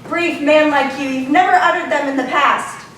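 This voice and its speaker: An actress playing a warrior's devoted, anxious wife, pleading urgently. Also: female voice, sits high, fairly even intonation, normal speed, fairly bright tone. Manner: pleading, urgent stage delivery. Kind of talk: stage tragedy acting. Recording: echoey room, far from mic, faint noise.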